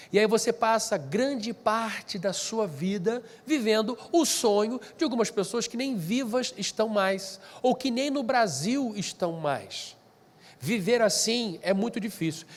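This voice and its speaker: Male lecturer, stuffy and nasal from allergies, higher-pitched than most men, chatty delivery with restarts, long hall reverb. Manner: conversational, with frequent restarts and asides. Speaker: speaker at a pastors' training session. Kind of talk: spoken lecture to a live group.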